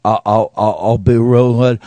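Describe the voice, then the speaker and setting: An actor playing a goofy, dim, eager talking dog in a comic radio play, heavily stuttering in a dopey way. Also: male voice, medium pitch, moderate pace, mellow, no echo, natural voice. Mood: eager to please.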